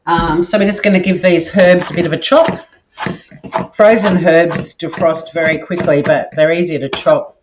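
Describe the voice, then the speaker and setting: A woman's voice: fairly low pitch, steady tone, normal speed, noisy background. A woman with an Australian accent and a nasal voice, chatting casually to viewers while she cooks in her home kitchen. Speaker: cooking stream host. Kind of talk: casual live cooking chat. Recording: dull audio with kitchen clatter.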